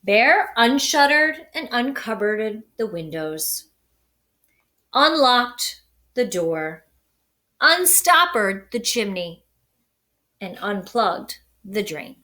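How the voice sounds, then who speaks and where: A woman reading a children's story aloud in an expressive, deliberate, dramatic way with pauses. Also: a little high. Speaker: storybook reader.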